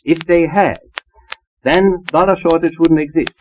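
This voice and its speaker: A man giving measured, emphatic, formal answers as a trade expert in a panel interview, on an old acetate disc recording. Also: fairly high pitch, slight background noise, low-quality recording.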